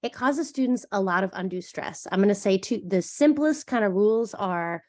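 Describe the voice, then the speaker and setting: Woman, stuffy nose, webinar talk, chatty, animated. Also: mid-range voice, moderate pace. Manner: chatty, animated, thinks aloud with fillers. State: stuffy, sniffly from a cold. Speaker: director of college admissions. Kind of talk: webinar presentation.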